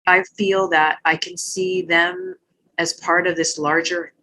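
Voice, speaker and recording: A middle-aged woman from Hawaii, a retired army general, answering interview questions in a thoughtful, measured way with small restarts. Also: on the low side, flat delivery, bright, forceful tone, a little hiss or hum, low-quality recording.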